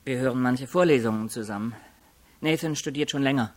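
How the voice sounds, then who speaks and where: A man's voice: mid-range voice, brisk, lightly acted. An actor playing a guarded, haughty nineteen-year-old male law student in a radio crime drama, curt and self-assured. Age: young adult.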